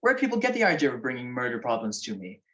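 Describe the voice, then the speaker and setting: An actor playing a grumpy, wisecracking married gentleman sleuth with sardonic wit in a radio comedy-mystery. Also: medium pitch, wildly swooping pitch, racing, dull audio. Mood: grouchy, sleepy.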